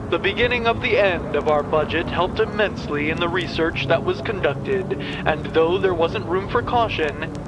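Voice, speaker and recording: Male actor, solemn narrator, ominous, slightly high pitch, unhurried, audio theatre. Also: rough recording. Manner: solemn, measured. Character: grave, ominous narrator of a dystopian world.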